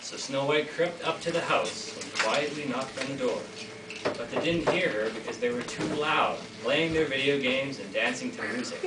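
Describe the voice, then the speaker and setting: A teenage boy narrating a fairy tale in a school reader's theater, playfully joking with the audience. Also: medium pitch. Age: teens. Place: school classroom or hall. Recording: echoey room from far off, noisy.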